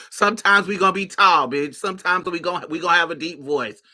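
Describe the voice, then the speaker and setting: A woman's voice: on the low side, moderate pace, bright, forceful tone. A woman hosting a podcast, giving a casual monologue in a sassy, blunt way. She swears freely and laughs often. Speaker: podcast host.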